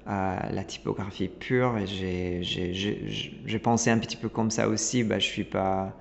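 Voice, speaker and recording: A man talks in a low, gravelly voice. He restarts his sentences, and he stalls on fillers. The recording sounds dull and lo-fi, with a long reverb trailing behind him. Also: fairly even intonation, normal speed, a little hiss or hum. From Italy. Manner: hesitant, many restarts and fillers. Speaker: guest designer. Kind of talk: casual interview talk.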